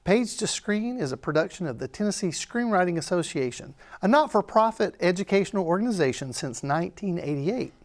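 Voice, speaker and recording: A man hosting a show, reading a scripted sign-off with thanks and announcements. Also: high-pitched. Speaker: host.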